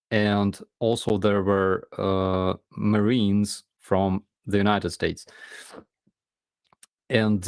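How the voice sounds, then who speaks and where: A man telling a story in casual chat, halting with many ums and restarts. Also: lowish voice, clean tone, dry recording.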